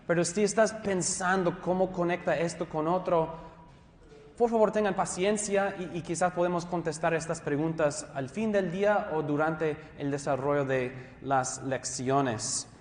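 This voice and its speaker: A man from Southern California with an American English accent, a pastor teaching a theology class in his second language, friendly and self-deprecating, pausing and restarting often. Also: a little high. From Southern California, USA. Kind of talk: classroom lecture. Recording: echoey hall, long reverb.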